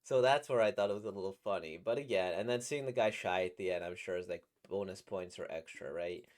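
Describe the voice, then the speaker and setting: Slightly squeaky man rambling playfully. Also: quick pace, a little mellow. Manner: rambling, playful, laughs at his own jokes. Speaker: voice actor reacting to comics. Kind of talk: casual reaction commentary.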